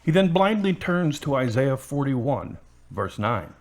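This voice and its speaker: Male narrator, mid-range pitch, scripted storytelling, stage-direction style narration for audio drama. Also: sing-song, unhurried.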